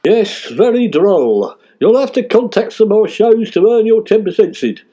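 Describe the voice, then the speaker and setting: A man's voice: sits high, lively intonation, moderate pace, warm tone. A man playing a pompous, thin-skinned ageing British comic actor, indignant and aggrieved, in radio sketch comedy.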